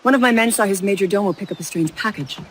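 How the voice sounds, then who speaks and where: Actress as composed colonial Assassin, assured, rapid delivery, mid-pitched, game cutscene. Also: female voice, a little hiss or hum, natural voice. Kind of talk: dramatic video game cutscene acting.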